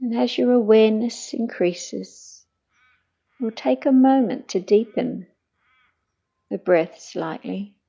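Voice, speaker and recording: A middle-aged woman guides a meditation on a slightly dull, muffled recording. She speaks very slowly and gently, with a soft, mellow tone. Her delivery is soothing and hushed, at times breathy. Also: a little high.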